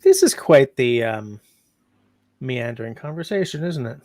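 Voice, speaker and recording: A man's voice: mid-range voice, animated, unhurried, a little mellow, dry recording. A man hosting a casual livestream, meandering as he reads out chat comments. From Canada. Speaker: livestream host.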